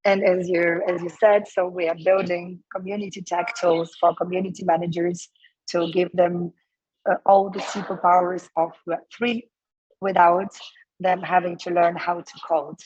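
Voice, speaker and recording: Hoarse woman in her thirties, low flat voice, muffled dry remote call. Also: normal speed, no echo. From Ukraine. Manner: talkative, many fillers and restarts. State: losing her voice. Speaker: guest, startup co-founder. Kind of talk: casual interview answers.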